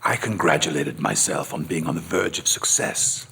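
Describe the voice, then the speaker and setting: A man narrating as a worldly gentleman memoirist, in scripted dramatic style with formal, measured literary phrasing. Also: extremely low pitch, gravelly, slight background noise, heavily acted. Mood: reflective, confiding. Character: worldly gentleman memoirist recalling his seductions. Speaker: narrator reading a memoir in first person.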